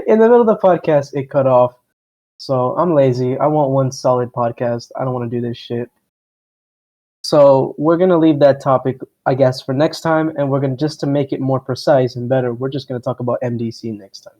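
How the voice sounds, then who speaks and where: A man's voice: mid-range voice, normal speed, mellow, lo-fi sound. A young man with a Miami accent, co-hosting a podcast in a loose, rambling, profane way. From Miami, United States. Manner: rambling, profane, self-deprecating. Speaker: podcast co-host. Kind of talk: casual chat.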